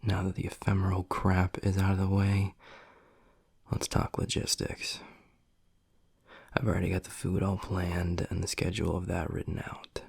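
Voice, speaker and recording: A deep, heavily creaky male werewolf alpha, acted by a man, speaks in an intimate roleplay. He is blunt and dry, with a protective, tender streak under the gruffness. The recording is close and dry. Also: completely flat delivery, moderate pace, a little mellow, no echo, heavily acted. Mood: matter-of-fact. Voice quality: husky, gravelly, breathy low voice. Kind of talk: intimate boyfriend audio roleplay, close and quiet.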